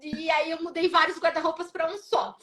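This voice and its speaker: Chatty woman host, high voice, video call interview. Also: level tone, fairly quick, bright, forceful tone. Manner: chatty, frequent "né?" tag questions. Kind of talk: casual live interview. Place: home office.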